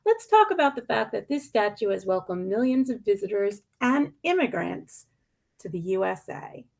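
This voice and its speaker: Female storybook reader, very lively intonation, noticeable vocal fry, dramatic stresses and pauses. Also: mid-range voice. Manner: deliberate, dramatic emphasis with pointed questions and pauses. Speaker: media specialist reading a picture book aloud. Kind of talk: expressive picture-book read-aloud.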